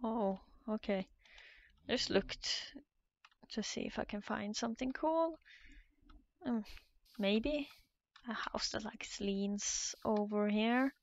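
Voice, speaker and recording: A woman's voice: fairly high pitch, slow pace, pauses often, dull audio. A Norwegian woman with a Norwegian accent, hesitantly commentating her own Minecraft play in a casual, nervous way. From Norway. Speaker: Minecraft let's player.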